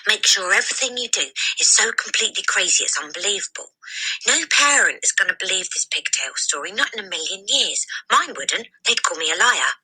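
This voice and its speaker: A woman's voice: medium pitch, very animated, a little fast, pushed and bright, slightly rough, voice pushed up, heavily acted, poor audio. A woman voicing a knowing young schoolgirl in a children's storybook, speaking in an emphatic, confiding way. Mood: confident.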